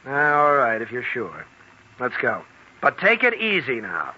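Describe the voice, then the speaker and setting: An actor playing a young, easygoing but cautious hospital intern in a 1940s radio drama. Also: male voice, mid-range voice, huge pitch swings, measured pace, highs cut off. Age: young adult. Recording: thin, noisy 1940s radio recording.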